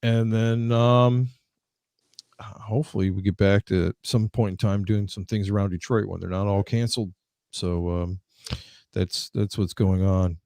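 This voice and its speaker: A man nearing fifty, a guest on a casual live stream, talking haltingly with many fillers. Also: lowish voice, steady tone, darkish tone, smooth, dry recording. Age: 40s.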